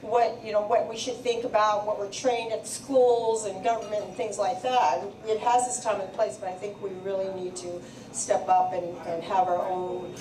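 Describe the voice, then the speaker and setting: A woman's voice: mid-range voice, little pitch movement, normal speed, a little mellow. A woman who works as a psychic medium, speaking live to an audience at an event in a chatty, hesitant way full of fillers. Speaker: psychic medium and radio guest. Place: live venue with crowd. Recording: echoey venue, distant mic, crowd behind.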